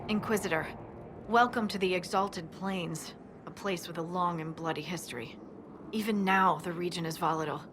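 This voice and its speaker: Actress as a low-voiced, sober military scout. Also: female voice, normal speed, noisy background, lightly acted. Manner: crisp, formal, sober briefing. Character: serious female army scout briefing her superior. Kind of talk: dramatic video game dialogue.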